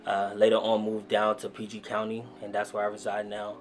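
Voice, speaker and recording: A man's voice: lowish voice, fairly quick, faint music behind. Laid-back young man telling stories. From Nigeria. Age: 20s. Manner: storytelling, relaxed slangy talk. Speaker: guest rapper. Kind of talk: casual podcast interview.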